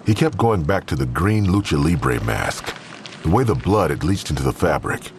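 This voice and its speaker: Man, bottomless low voice, animated intonation, scripted crime story narrator, noisy background. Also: normal speed. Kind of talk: scripted third-person crime drama narration.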